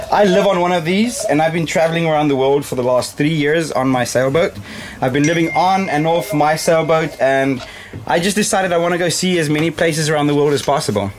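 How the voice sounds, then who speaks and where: A man with a South African accent hosting a casual sailing vlog, chatting playfully and teasingly with his guests. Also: fairly high pitch. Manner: playful, teasing, often adds "eh". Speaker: sailing skipper and vlog host. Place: small wooden sailboat cabin. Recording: noisy handheld camera on a boat.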